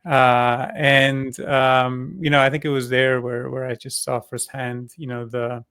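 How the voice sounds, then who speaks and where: A man who grew up in Singapore, a company co-founder answering interview questions, speaking haltingly with many fillers and restarts. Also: mid-range voice, little pitch movement, fairly bright tone, clear, resonant tone, no room sound. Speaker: crypto company co-founder, guest.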